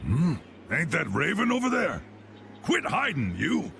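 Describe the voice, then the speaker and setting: A blustery old American guild boss for a video game. His gravelly voice sits a little high and swings widely, hearty and folksy yet used to giving orders. Clear background noise sits behind it. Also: wildly swooping pitch, unhurried, a bit bright, full character voice. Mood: gruff, brusque. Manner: folksy, commanding.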